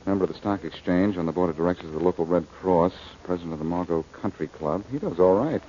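A man's voice: low voice, fast, warm tone, close to an everyday voice. A man playing a cocky, wisecracking amateur sleuth in 1940s radio style, glib and teasing. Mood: brisk, confident. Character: cocky, wisecracking male amateur sleuth, cool and quick-witted. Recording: muffled old-time radio recording, faint noise.

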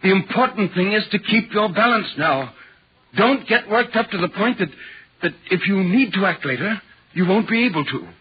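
An actor playing an earnest, concerned gentleman writer in a 1940s radio ghost drama, speaking with measured, deliberate literary phrasing. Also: sits high, expressive, breathy edge, full character voice. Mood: reassuring. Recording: muffled, worn 1940s radio recording.

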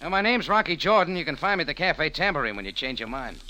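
A tough, wisecracking American man in an old-time radio detective serial. His delivery is forceful and very animated, with a hard-boiled edge. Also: on the high side, quick pace, exaggerated voice, poor audio. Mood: brisk, probing. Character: wry, tough American café owner turned sleuth, male. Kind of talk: 1940s radio acting, first-person narration and dialogue. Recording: vintage radio recording.

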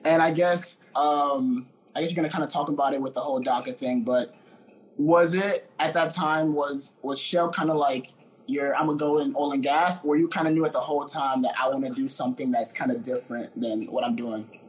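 A man's voice: medium pitch, steady tone, a little fast. A young man casually interviewing a friend, laid-back and slangy.

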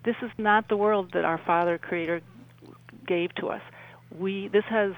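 A woman's voice: mid-range voice, fairly even intonation, moderate pace. A woman speaking as a radio interview guest over a tinny remote line, earnestly explaining with rhetorical questions. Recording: tinny, narrow phone-like line.

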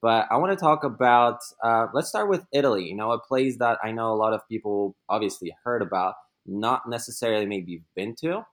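A man's voice: mid-range voice, a little fast, hardly any pauses, a bit bright. A young man hosting a casual podcast interview, asking loose questions with fillers. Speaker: podcast host.

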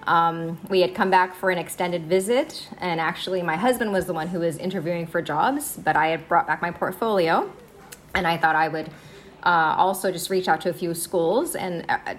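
A woman in her forties, a high school science teacher, telling her story in a casual interview with many fillers and easy laughs. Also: slight background noise. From United States. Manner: chatty, many fillers and "okay"s, laughs easily.

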